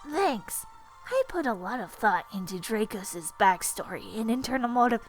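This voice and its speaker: A woman voicing a brooding talking flower who tells his own tragic hero tale in a melodramatic, deliberate way. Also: a little high, very animated. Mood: pleased. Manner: melodramatic, slow, deliberate delivery. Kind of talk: dramatic amateur audio drama. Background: music.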